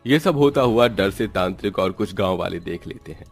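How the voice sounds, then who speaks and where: A man's voice: deep voice, animated, normal speed, gravelly. A man narrating a scripted horror cartoon story as a storyteller, with music behind him.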